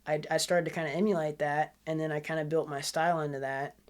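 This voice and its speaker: A young man with a rather high, nasal voice, chatting casually as a podcast guest. He rambles along, stringing thoughts together with a steady stream of filler words. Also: fast talker, clear, resonant tone. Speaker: guest musician.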